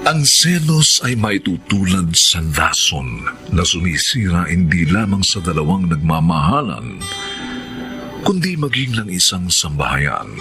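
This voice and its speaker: A man narrating a Filipino radio drama as its announcer, solemn and theatrical in a scripted style. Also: low-pitched. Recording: music bed under voice.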